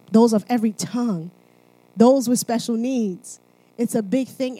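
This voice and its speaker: A woman's voice: mid-range voice, mellow, low-quality recording. Woman preaching in a conversational, emphatic way. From Haiti. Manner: conversational and emphatic, often checking in with "right?". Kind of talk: sermon mixed with personal testimony.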